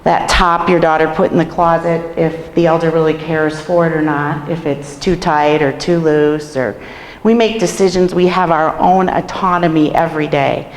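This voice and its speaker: A hoarse woman with a cold, a nursing home administrator, giving a chatty staff training and asking the room questions. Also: deep voice, fairly even intonation, normal speed. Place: meeting room in a care home. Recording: echoey room with long reverb.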